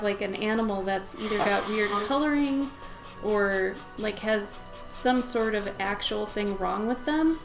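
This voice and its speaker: A young woman with a somewhat raspy voice, chatting casually while she draws on a livestream and talks to her dog and to viewers. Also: medium pitch, low-quality recording. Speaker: livestreaming pet portrait artist. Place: home art studio with plastic bins.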